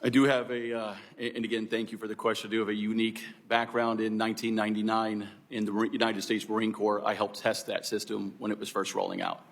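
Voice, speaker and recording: A man's voice: on the low side, completely flat delivery, fast, hardly any pauses. A middle-aged man giving formal unscripted testimony as an expert witness, explaining things courteously and step by step. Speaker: air traffic control expert witness.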